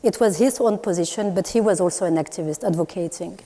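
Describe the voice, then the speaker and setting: Female artist from Morocco, native Moroccan Arabic speaker, lowish mellow voice, hesitant lecture delivery. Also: fast. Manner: thoughtful, hesitant with many fillers and restarts. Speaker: artist in a panel talk. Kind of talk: public talk at a university event. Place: lecture hall. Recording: long hall reverb.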